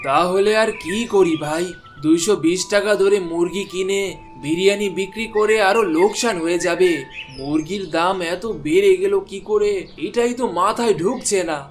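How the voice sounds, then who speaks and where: A man plays a village biryani cook for a cartoon, pitched well up high. He is a thrifty schemer who chats and bargains as he goes. Soft music plays behind him. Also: moderate pace, natural voice. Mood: worried. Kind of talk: cartoon dialogue voice acting. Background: background music.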